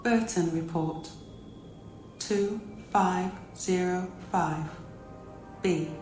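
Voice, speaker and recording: A woman voicing an impersonal archive computer in a radio play, announcing files in a flat, official manner. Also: fairly low pitch, very slow. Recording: echoey, distant-sounding, music behind.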